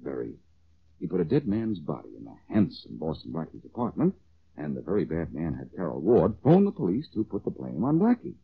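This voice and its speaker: Cocky radio detective, low gravelly voice, wry and needling, dull old recording. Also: male voice, huge pitch swings, fairly quick, slight background noise, full character voice. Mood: playful, teasing storytelling. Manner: wry, self-assured, needling. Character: cocky, quick-witted man, an amateur sleuth. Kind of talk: 1940s radio detective acting.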